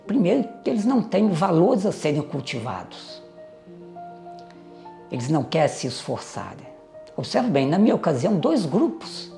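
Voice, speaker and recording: An older man, recalling his family history in a measured, reflective way with pauses and hesitant fillers. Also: a little high, gravelly, warm tone. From Minas Gerais, Brazil. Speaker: interviewee recounting family history. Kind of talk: reminiscing oral history.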